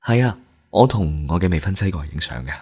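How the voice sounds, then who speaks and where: Low-voiced, devoted young groom. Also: male voice, animated, quick pace, darkish tone, slightly rough, exaggerated voice. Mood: insistent. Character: devoted, lovelorn young groom, earnest and gentle. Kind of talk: Cantonese radio drama acting. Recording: music under the voice.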